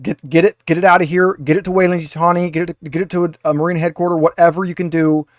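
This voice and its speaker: A man's voice: fairly high pitch, little pitch movement, quick pace, no echo, clean studio sound. A man voicing a desperate male military major on a last stand, stammering and repeating urgent orders.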